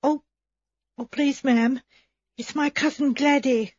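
A woman's voice: sits high, fairly even intonation, slow pace, a little mellow, no echo, muffled recording, pitched above the performer's own voice. A woman voicing an anxious young housemaid who rambles and pleads breathlessly.

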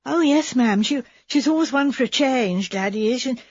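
A woman's voice: high voice, lively intonation, moderate pace, breathy edge, no echo, dull audio, voice pushed up. A woman voicing an anxious young housemaid who rambles and pleads breathlessly.

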